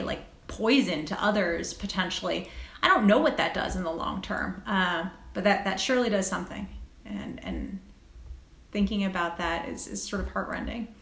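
A woman speaking as a physician guest in an interview, thoughtful and reflective, often halting and repeating phrases as she finds her words. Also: medium pitch. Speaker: guest, critical care physician. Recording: echoey, roomy sound.

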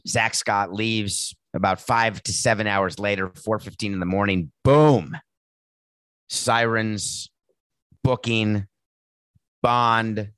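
A man hosting a sports podcast, with a raspy voice and a sardonic, mocking way of talking. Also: fairly low pitch, a bit bright, dry recording. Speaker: podcast host. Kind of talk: sarcastic sports commentary.

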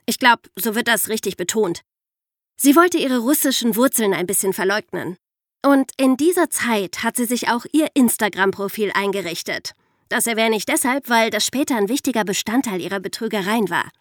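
Higher-voiced woman, lively podcast storyteller. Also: normal speed, dry recording, studio quality. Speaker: podcast co-host. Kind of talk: scripted true-crime podcast narration.

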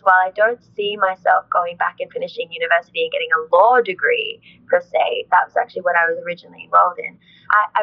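A woman's voice: fairly low pitch, vocal fry. Earnest young woman, muffled audio. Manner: earnest, thoughtful, frequent "like" and "you know" fillers. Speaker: interview guest, actress. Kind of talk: reflective conversational interview.

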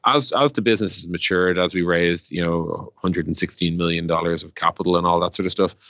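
A man's voice: low-pitched, fairly bright tone, no room sound, dull audio. An Irish man explaining business as an interview guest, rambling conversationally with lots of "like" and "you know". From Ireland. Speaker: interview guest, tech startup co-founder.

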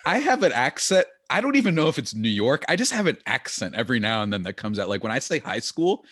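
A man co-hosting a podcast in casual chat, animated and talkative, often laughing. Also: mid-range voice, quick pace, breathy edge, no echo. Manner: animated, chatty, laughs often, many "like" fillers. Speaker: podcast co-host.

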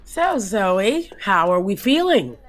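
A woman's voice: mid-range voice, sing-song, slow. A woman playing an anxious, diplomatic theatre producer in an audio comedy drama, polite but strained. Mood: polite, uneasy.

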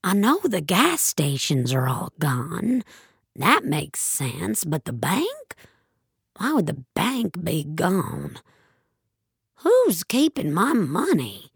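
Woman playing a halting, anxious elderly lady. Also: on the low side, huge pitch swings, gravelly, slightly rough, dry recording, clean studio sound, exaggerated voice. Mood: worried. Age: older. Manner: halting, pleading, old-fashioned. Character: anxious, crotchety elderly woman, baffled by the digital world.

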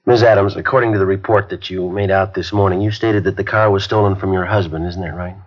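An actor playing a methodical police detective in a radio crime drama, questioning in clipped, flat sentences and narrating the case. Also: male voice, deep voice, quick pace. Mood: terse. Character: curt, methodical male police sergeant. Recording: dull lo-fi 1950s radio recording.

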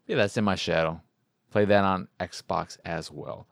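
A man's voice: deep voice, measured pace, vocal fry, no echo, clean studio sound. A man hosting a gaming podcast alone, talking in an informal, playful, self-deprecating ramble and sounding tired. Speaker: solo video game podcast host. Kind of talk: casual podcast sign-off, rambling.

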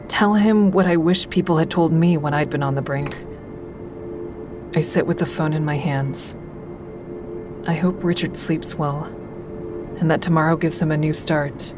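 A low-pitched woman in an audio drama, earnest and blunt as a crisis helper. She speaks plainly and gravely over clearly audible music. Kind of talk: dramatic first-person narration and dialogue.

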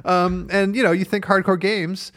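A man's voice: high-pitched, level tone, normal speed, fairly bright tone. A man co-hosting a tech podcast, talking casually and arguing his point. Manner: casual, argumentative, lots of "like". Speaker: podcast co-host. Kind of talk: podcast discussion.

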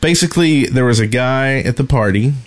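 A man's voice: medium pitch, a little fast. Rambling man chatting casually. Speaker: podcast co-host. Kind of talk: casual gaming podcast chat.

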